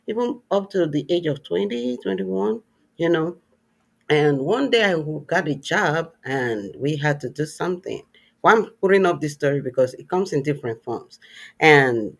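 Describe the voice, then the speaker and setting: A man's voice: fairly high pitch, gravelly. Man, host, worship leader, earnest, encouraging, preacher-like cadence. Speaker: podcast host. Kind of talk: warm closing remarks and personal story.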